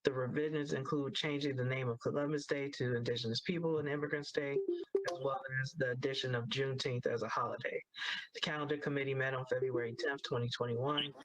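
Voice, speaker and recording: Even-toned man chairing a formal meeting. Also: medium pitch, rough recording. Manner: halting, procedural. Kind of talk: formal meeting procedure, reading motions aloud.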